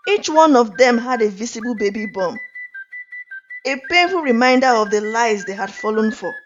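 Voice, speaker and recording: A woman narrates a scripted folktale with expressive, deliberate drama. Her voice sits fairly high and moves around a lot in pitch. Flute music plays clearly behind her. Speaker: storyteller. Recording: dull lo-fi audio under music.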